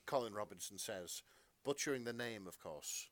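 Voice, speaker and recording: A man's voice: on the low side, expressive, moderate pace, no echo, clean studio sound. A man narrating fan fiction as a podcast co-host, in a melodramatic storytelling style.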